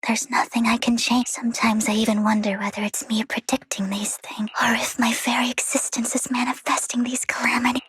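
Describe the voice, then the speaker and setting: An actress playing a burdened, earnest young woman seer in a video game, speaking softly, often near a whisper. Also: a little high, flat delivery, brisk, hardly any pauses, breathy edge. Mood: melancholy. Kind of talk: dramatic video game voice acting.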